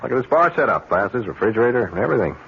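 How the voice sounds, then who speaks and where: An actor playing a sly, breezy bachelor adman in a radio drama, smooth-talking, jovial and cocky. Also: medium pitch, sing-song, very fast. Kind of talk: 1970s radio drama acting. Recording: muffled, faintly noisy old radio recording.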